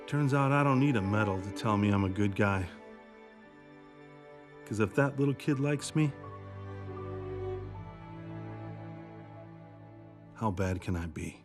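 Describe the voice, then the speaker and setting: A man acts out a big, lonely cartoon villain who is soft at heart. His talk is halting and earnest, and his pitch moves up and down a lot. Faint music sits behind him. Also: animated, lightly acted. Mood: tender, resolved. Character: big weary, lonely video game bad guy with a soft heart. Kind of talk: animated film acting.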